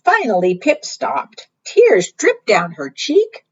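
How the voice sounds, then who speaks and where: A woman's voice: fairly high pitch, wildly swooping pitch, slow pace, husky, dull audio. A woman hosting preschool storytime, reading and teaching in a sing-song way, often putting questions to small children. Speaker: library storytime host.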